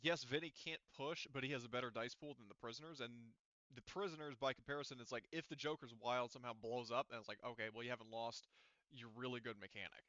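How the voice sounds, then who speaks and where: A man's voice: mid-range voice, fairly even intonation, quick pace, sharp tone, no room sound, dull audio. A man working as game master in casual tabletop session talk, thinking aloud and correcting himself as he goes.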